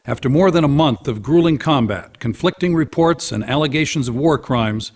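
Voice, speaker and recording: A man's voice: mid-range voice, close to an everyday voice. Man playing a formal TV news anchor. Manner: formal, dramatic broadcast delivery. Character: solemn, polished male television news anchor. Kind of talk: scripted news report in a game.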